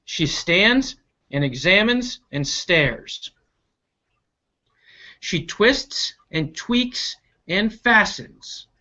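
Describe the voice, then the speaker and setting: Children's read-aloud narrator, mid-pitched and highly expressive, unhurried delivery, dull recording. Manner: animated, deliberate, stretching key action words, with asides to listeners. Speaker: reader reading a picture book to children.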